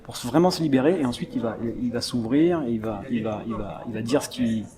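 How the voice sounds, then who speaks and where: A man's voice: mid-range voice, fast talker. A young man, an actor, chatting casually in an interview, rambling with many restarts and laughs. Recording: crowd chatter behind.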